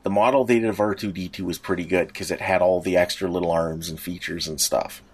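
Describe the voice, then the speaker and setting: Slightly low-voiced man thinking aloud on a podcast. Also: fairly even intonation, quick pace, husky. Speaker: podcast co-host. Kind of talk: casual explanatory chat.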